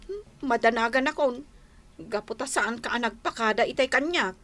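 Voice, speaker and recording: A woman's voice: a little high, normal speed, a bit bright, lightly acted. A woman playing a fretful, complaining young wife in a radio comedy drama. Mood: worried.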